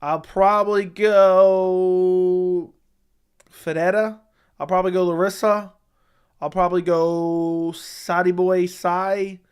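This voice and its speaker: A man's voice: high voice, flat delivery, takes it slowly, smooth. A man hosting a casual mixed martial arts livestream, answering viewer chat in a blunt, opinionated way and repeating his points for emphasis. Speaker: MMA commentary livestream host.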